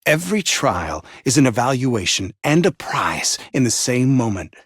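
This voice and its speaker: A man playing a grandiose, condescending overseer of a sinister facility in a video game, with theatrical, measured, patronizing delivery. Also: mid-range voice, big pitch swings, breathy edge, dry recording, clean studio sound. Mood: smug approval. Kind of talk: scripted video game villain voice lines.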